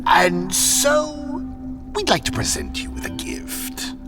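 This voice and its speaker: An actor playing a sly, courteous alien envoy in an audio drama, with a formal, drawn-out, insinuating delivery. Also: male voice, sits high, huge pitch swings, heavily acted. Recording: loud music under the voice.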